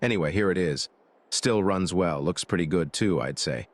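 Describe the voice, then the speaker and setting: A man's voice: sits low, moderate pace, natural voice. A man voicing a friendly neighbor farmer in a farming game, easygoing and welcoming.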